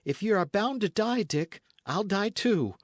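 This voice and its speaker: A man's voice: sits high, expressive, measured pace, no room sound, clean studio sound, pitched above the performer's own voice. A man voicing a timid but stubborn young lad who pleads plaintively in old-fashioned words. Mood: stubborn, pleading.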